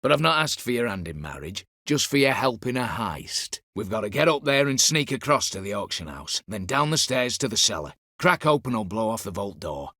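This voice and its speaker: Vengeful heist ringleader, game character, forceful edge, very dry studio sound, clipped commands, old-fashioned wording. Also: male voice, on the low side, big pitch swings, fairly quick, hardly any pauses, no room sound, full character voice. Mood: brisk, commanding. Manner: clipped, commanding, archaic turns of phrase. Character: shrewd, vengeful man masterminding a vault heist. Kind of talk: dramatic video game voice acting.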